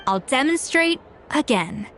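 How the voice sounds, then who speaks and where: A woman's voice: high voice, sing-song, unhurried, a bit bright, a little hiss or hum, full character voice. A woman voicing a proud, exacting young female chef in a video game, precise and self-assured as she instructs. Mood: stern.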